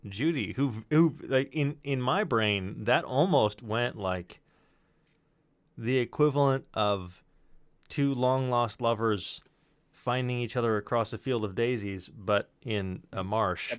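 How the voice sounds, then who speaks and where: A man's voice: medium pitch, takes it slowly, no echo. A man co-hosting a stream in casual, conversational chat. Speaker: stream co-host.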